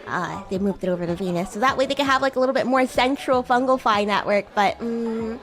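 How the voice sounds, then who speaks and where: A woman roleplaying a playful, bratty demon classmate on a livestream, chatting in a halting, improvised way. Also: fairly high pitch, a bit bright, faint music behind. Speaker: streamer and VTuber host.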